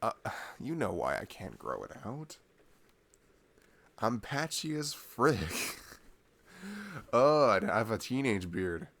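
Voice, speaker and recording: Low, creaky-voiced, affectionate boyfriend played by a man. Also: sing-song, takes it slowly, pauses often, a bit bright, exaggerated voice. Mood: playful, relaxed. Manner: rambling, tender, casual and teasing. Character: sweet, playful, affectionate boyfriend cuddling his partner. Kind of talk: ASMR boyfriend roleplay, improvised intimate chat.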